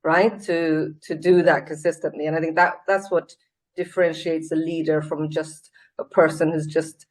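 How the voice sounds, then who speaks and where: A woman's voice: low voice, flat delivery, normal speed, rough recording. A Swedish-born woman, a CEO, talking in a casual interview over a video call, earnest and reflective with many fillers and restarts. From Sweden.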